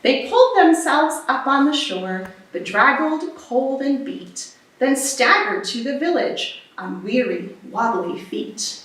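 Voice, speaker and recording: Woman, children's storybook reader, lively swooping intonation, unhurried pace, distant echoey recording. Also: high voice. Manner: animated, deliberate storytelling. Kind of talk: expressive read-aloud for children. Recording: very echoey room, distant microphone.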